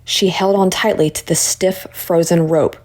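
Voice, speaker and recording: A woman narrating a children's story in an expressive read-aloud style. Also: on the low side, unhurried.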